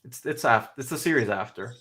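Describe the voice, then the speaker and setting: A man's voice: mid-range voice, animated, sharp tone. A man who hosts a comics podcast, reading out book details and moving the discussion on. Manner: brisk, moves the show along. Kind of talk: casual chat, reading product details.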